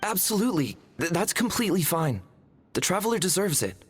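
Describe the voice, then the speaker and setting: A timid young apprentice in a video game, polite and hesitant. The voice is low and husky. Long reverb and music surround it. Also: female voice, sing-song, brisk. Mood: agreeable. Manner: hesitant, deferential. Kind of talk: animated video game cutscene acting.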